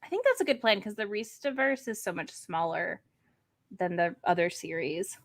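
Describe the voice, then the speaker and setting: A woman's voice: medium pitch, normal speed. A woman co-hosting a livestream, telling casual stories and acting out the quotes as she goes. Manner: animated storytelling, acts out pets' thoughts. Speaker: livestream co-host. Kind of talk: casual chat.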